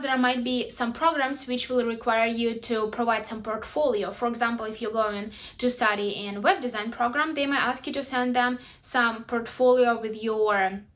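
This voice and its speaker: A woman's voice: on the high side, a bit bright. Young Ukrainian-accented woman vlogging. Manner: chatty, direct, with small grammar slips. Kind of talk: explainer vlog to camera.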